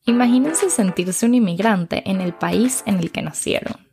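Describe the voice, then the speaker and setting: A woman's voice: medium pitch, moderate pace, gravelly, dark tone, soft background music. A woman hosting a podcast. She talks in a chatty, upbeat way and repeats words for emphasis, both in her intro and while interviewing. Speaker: podcast host.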